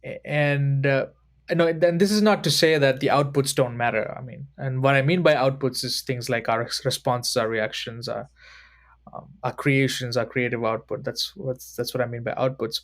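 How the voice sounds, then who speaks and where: A man in his thirties from Sri Lanka, a podcast guest who speaks in a measured, thoughtful way and slows down to choose his words. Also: medium pitch, fairly even intonation. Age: 30s. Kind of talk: reflective conversation.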